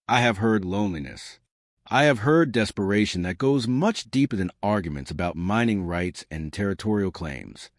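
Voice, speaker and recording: Man voicing a calm, gentle human diplomat. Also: fairly low pitch, moderate pace, no room sound, clean studio sound. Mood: gentle, solemn. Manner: soft-spoken, gentle, certain. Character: quiet, calm, compassionate human male diplomat.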